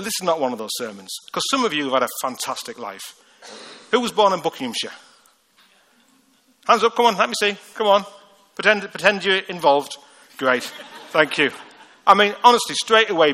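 A man with a Liverpool accent preaching a lively, joking sermon and reading scripture with acted voices. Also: a little high, a little fast. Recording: long hall reverb.

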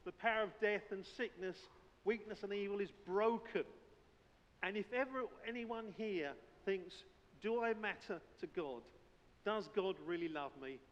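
A man's voice: sits high, measured pace. A man, a church elder, praying and preaching earnestly in short emphatic phrases during a service. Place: indoor church service, speaking from the platform. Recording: muffled, long reverb of a church hall.

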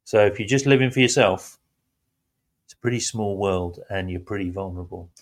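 A man hosting a relaxed faith-based chat, talking reflectively with gentle emphasis. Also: fairly low pitch, a little fast.

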